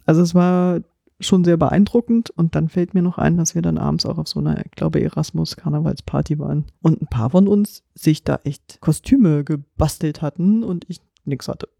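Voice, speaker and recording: Mellow woman with a creaky edge. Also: deep voice, normal speed, dry recording, studio quality. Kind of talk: casual chat, recounting memories and facts.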